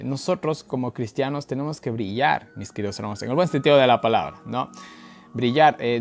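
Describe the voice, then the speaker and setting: Ecuadorian man, informal halting talk over music. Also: medium pitch. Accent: Ecuadorian Spanish. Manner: conversational, colloquial, halting with many filler words. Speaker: online Bible study leader. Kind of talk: informal Bible study talk, verse-by-verse commentary. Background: background music.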